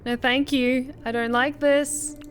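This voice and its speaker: Expressive high-voiced woman streaming a game. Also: slow. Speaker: streamer playing a visual novel. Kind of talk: live game reactions and reading aloud.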